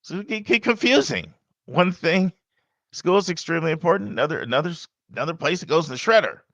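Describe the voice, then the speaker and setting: A man hosting a podcast, speaking loudly and emphatically in a casual monologue with frequent asides to the listener. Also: on the high side, animated, fast, no room sound. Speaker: podcast host and college admissions advisor.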